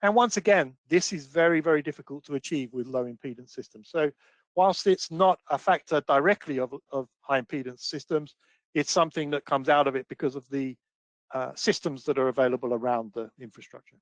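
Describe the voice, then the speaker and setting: A man presenting a technical webinar as a trainer, explaining step by step with examples in a measured way. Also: on the high side, no room sound.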